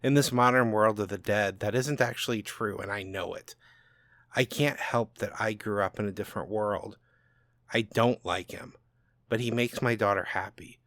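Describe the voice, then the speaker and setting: A man playing a bitter, self-loathing old father in a subdued, halting audiobook narration. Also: lowish voice, a bit bright, no room sound, clean studio sound. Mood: brooding regret.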